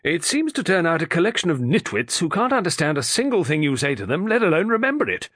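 A man's voice: on the high side, very animated, fast, a bit bright, no echo, clean studio sound, pitched above the performer's own voice. A man voicing a small, pugnacious, bad-tempered middle-aged dentist who grumbles and complains. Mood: irritable. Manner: peevish, complaining.

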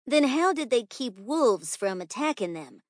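A woman's voice: on the high side, very animated, unhurried, no room sound, studio quality, voice pushed up. A woman voicing a dim, curious young schoolboy in a storybook, blunt and guileless.

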